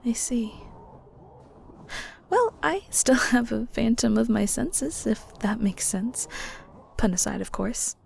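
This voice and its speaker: A woman playing a shy, curious young ghost in an audio roleplay, hesitant, polite and chatty, with soft breathy edges. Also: on the high side, expressive, slow pace, long pauses, slight background noise, full character voice. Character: shy, curious young female ghost, newly arrived in the underworld. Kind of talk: audio roleplay, intimate conversational acting.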